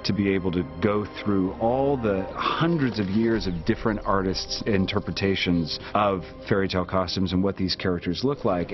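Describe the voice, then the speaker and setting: A man giving commentary in an interview. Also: deep voice. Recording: dull sound under clear music.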